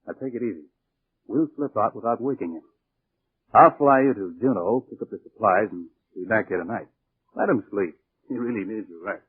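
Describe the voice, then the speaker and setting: An actor playing a gruff, brisk bush pilot in a 1940s radio drama. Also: male voice, lowish voice. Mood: brisk, hushed. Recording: old 1940s radio, narrow muffled band.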